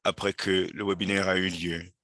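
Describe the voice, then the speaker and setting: Low-voiced guy doing live interpretation, bright edge, pauses n restarts a lot. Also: flat delivery. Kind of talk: live interpretation of a faith webinar.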